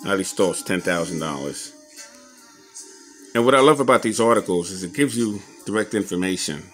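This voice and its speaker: A man giving a casual show-and-tell commentary as an enthusiastic collector, halting a little as he reads old clippings aloud. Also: low voice, lively intonation, fairly bright tone, faint music behind. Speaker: boxing memorabilia collector showing his scrapbooks on video.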